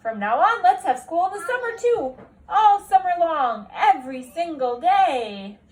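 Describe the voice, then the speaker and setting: A woman voicing a proud, exuberant male school principal in a storybook, with drawn-out, emphatic exclamations. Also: very high-pitched, sing-song, darkish tone, pitched above the performer's own voice, exaggerated voice. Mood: exuberant pride. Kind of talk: storybook character read aloud.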